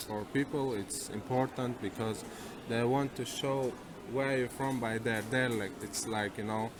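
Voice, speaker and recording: Russian male student, slow and deliberate, outdoor noise. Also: medium pitch. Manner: unhurried, groping for words. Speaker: interviewee, international student. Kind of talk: casual spoken answers.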